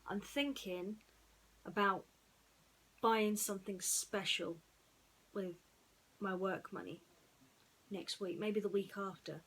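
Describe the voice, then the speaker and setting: Female bedtime storyteller, middle register, reflective and unhurried delivery with pauses. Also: medium pitch, a bit bright, slightly rough, poor audio. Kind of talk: personal chat and bedtime story reading.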